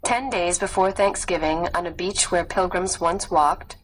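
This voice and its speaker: A woman with a slightly low voice narrates a nonfiction story in a measured, expressive read-aloud style. The recording carries faint background noise. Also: rough recording. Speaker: audiobook narrator.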